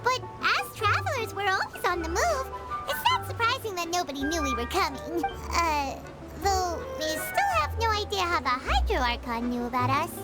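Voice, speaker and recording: A woman voicing a tiny, curious, childlike fairy companion in a video game, with a squeaky voice. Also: sing-song, moderate pace. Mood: chatty. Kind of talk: English video game voice acting. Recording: loud game music behind the voice.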